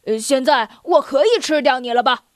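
A woman doing a loud, bossy lion. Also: high-pitched, animated, moderate pace, dry recording, studio quality. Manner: barking, demanding. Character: impatient male circus lion, loud and bossy.

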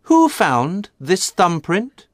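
Thoughtful gentleman sleuth, drily ironic, very lively pitch, unhurried, dry studio sound, storybook character. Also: dry recording, heavily acted. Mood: politely probing. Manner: thoughtful, deliberate. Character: shrewd, courteous, drily ironic gentleman detective. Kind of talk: dramatised audiobook storytelling.

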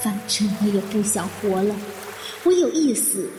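Woman acting resolute vengeful heroine, mystery audio drama, measured dramatic narration, echoey reverb, clear background music. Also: medium pitch, expressive, natural voice. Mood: brooding, detached. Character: vengeful, resolute woman plotting against her would-be killer. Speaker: voice actor in an amateur audio drama. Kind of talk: dramatic first-person narration and dialogue in a mystery radio drama.

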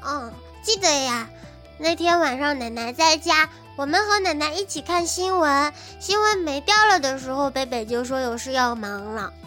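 Bright, high-pitched chatty little girl. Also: normal speed. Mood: earnest, innocent. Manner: childlike, hesitant recounting, refers to self by nickname. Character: sweet chatty little girl telling mother about her day. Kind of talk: modern audio drama acting. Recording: soft music behind the voice.

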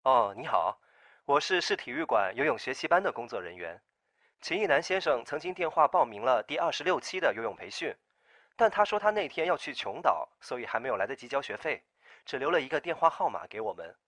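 A man's voice: medium pitch, sing-song, a little fast, fairly bright tone, no echo. A man playing a polite, businesslike sports-centre clerk making a phone call in an audio drama. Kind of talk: Chinese amateur audio drama.

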